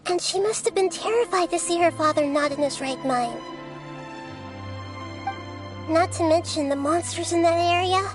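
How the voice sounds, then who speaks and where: A woman voicing a small childlike goddess in a video game, speaking in a measured, earnest and philosophical way, gentle but resolute. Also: very high-pitched, over music. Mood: calm, probing.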